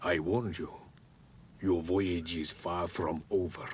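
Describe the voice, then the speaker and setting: An actor playing a wise, solemn elder of an island tribe in a fantasy game, speaking in a measured, ceremonial way. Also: male voice, deep voice, fairly even intonation, slight background noise. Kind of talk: fantasy video game cutscene acting.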